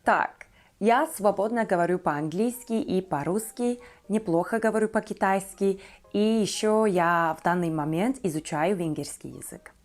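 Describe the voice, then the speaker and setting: Turkish girl in her 20s vlogging about herself, turkish accent, medium pitch, kinda halting and careful. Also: soft background music. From Turkey. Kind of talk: personal talk to viewers about herself.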